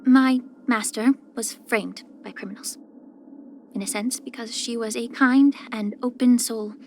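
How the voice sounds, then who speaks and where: A woman voicing a poised, soft-spoken, subtly sly young female healer in a video game, with measured, gentle, composed delivery. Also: fairly high pitch, steady tone, a little hiss or hum. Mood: wistful, restrained. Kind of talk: scripted character voice lines.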